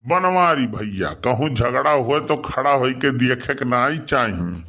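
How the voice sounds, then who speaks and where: A man's voice: medium pitch, animated, unhurried, pushed and bright, full character voice. A man playing a teasing, sly village sidekick in a cartoon comedy, with a rustic eastern Uttar Pradesh accent. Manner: needling, drawling, rustic. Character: teasing, sly village sidekick who eggs his friend on. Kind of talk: Awadhi cartoon comedy dialogue.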